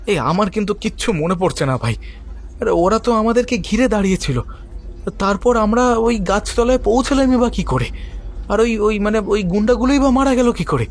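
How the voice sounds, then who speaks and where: High-voiced, scoffing young schemer. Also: male voice. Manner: colloquial, questioning, scoffing. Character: young local man, scheming ringleader, puzzled and sarcastic. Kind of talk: audio horror story acting. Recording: faint music behind the voice. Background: faint music.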